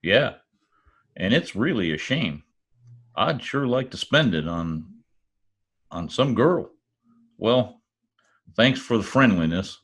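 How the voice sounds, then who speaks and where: Man putting on a folksy, bashful cowboy, swooping pitch. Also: very animated, takes it slowly, pauses often, a bit bright, slightly rough, full character voice. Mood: lonely, hinting. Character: bashful, folksy Oklahoma cowboy with oil money. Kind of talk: old-time radio comedy-drama acting.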